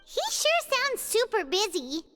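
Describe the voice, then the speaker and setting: A woman voicing a small, cheeky, excitable fairy companion in a video game, with a squeaky childlike voice and cutesy exclamations. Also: unhurried, heavily acted. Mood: curious, cheeky. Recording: long reverb, music behind.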